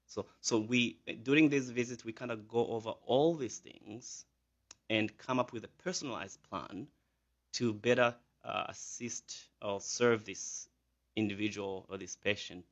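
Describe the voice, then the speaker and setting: A man's voice: fairly low pitch, unhurried, dull audio. A man in his thirties from Rwanda with a Rwandan accent, a physician answering radio interview questions with many fillers and restarts. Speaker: physician guest.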